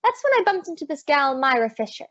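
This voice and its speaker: A woman's voice: high-pitched, big pitch swings, quick pace, a bit bright. A woman playing a breezy, wisecracking private eye, narrating and acting in an old-time radio detective show. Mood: wry narration. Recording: dull lo-fi audio.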